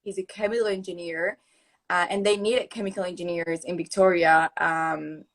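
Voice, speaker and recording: A woman's voice: lowish voice, moderate pace. Chatty young woman with an Argentinian accent. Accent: mixed Argentinian. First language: Spanish. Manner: chatty, laughs often, lively. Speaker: guest influencer. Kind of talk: live video call interview. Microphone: video call.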